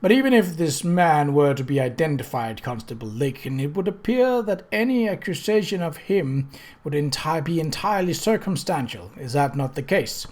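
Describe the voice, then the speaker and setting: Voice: mid-range voice, lively intonation, a little mellow, lightly acted. A narrator acting a formal, blunt coroner. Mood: judicious, musing. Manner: formal, measured, courteous questioning. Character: gruff, blunt coroner, judicious older man.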